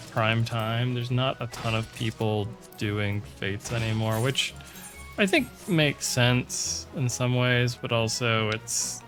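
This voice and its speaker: A man's voice: mid-range voice, a bit bright. A man giving rambling, casual commentary over his gameplay, with frequent ums and restarts. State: sick with a cold, voice going. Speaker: gaming video creator.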